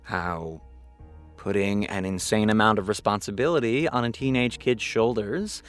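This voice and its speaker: A man's voice: fairly low pitch, normal speed, soft background music, natural voice. A man playing a reflective, earnest show creator giving a solemn documentary-style interview.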